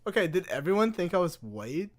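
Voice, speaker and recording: Streamer dude reacting to vids, kinda high voice, jumps all over, swears a lot. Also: male voice, brisk. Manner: animated, laughing, swearing, talking back to the video. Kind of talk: casual reaction chat.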